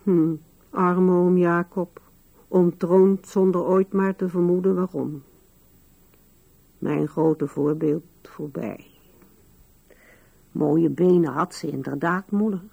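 An actress in a 1970s radio play voicing an old widow who muses hesitantly and wistfully, with a gravelly, husky voice. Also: female voice, lowish voice, fairly even intonation, slow pace, long pauses, dark tone, full character voice. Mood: fond, amused. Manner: hesitant musing with sighs and murmurs. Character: musing old widow Anna, wistful, fond, wryly amused. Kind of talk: 1970s Dutch-language radio play acting.

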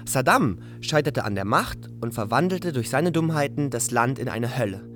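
An actor playing an unlucky, self-blaming man who tells his story with dark irony in a radio drama. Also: mid-range voice, lively intonation, moderate pace, a little hiss or hum, lightly acted. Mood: rueful, ironic. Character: self-blaming, unlucky young Iraqi man, darkly ironic. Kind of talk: scripted radio drama monologue. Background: music.

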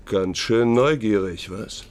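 Low-voiced, guarded working man. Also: slow pace. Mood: offhand, reticent. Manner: colloquial, reticent, offhand. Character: guarded, streetwise male workmate, plain-spoken. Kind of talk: German radio crime drama dialogue.

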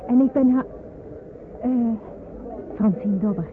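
A woman's voice: on the high side, a little slow, narrow, tinny audio, close to an everyday voice. A woman playing an earnest, uneasy young woman in a radio drama, hesitant and polite, on a noisy old recording. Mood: polite, curious. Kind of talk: Dutch radio drama acting.

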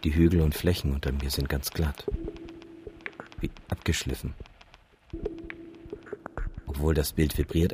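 Very deep, gravelly uneasy dreamer. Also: male voice, heavily acted. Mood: uneasy wonder. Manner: measured, literary, uneasy. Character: anxious male dreamer with a camera, recounting a nightmare. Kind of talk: first-person radio drama narration. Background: music.

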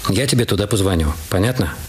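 A calm police inspector. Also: male voice, low-pitched, fast talker, heavy background noise. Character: calm, authoritative middle-aged male police inspector. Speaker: police inspector questioning a witness. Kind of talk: radio play acting. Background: music.

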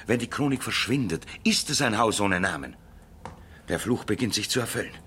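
An actor playing a grave, ominous professor of the paranormal in a German radio crime play, speaking portentously and insistently. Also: male voice, fairly low pitch, expressive, fairly quick, a bit bright. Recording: noisy old radio drama recording.

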